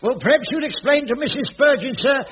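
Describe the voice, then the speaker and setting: A chuckling jobsworth caretaker. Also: male voice, very high-pitched, lively intonation, fast. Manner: joking, chuckling, evasive. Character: chirpy jobsworth middle-aged male caretaker. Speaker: sitcom lead. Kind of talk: 1980s radio sitcom acting. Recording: dull old radio recording with hiss.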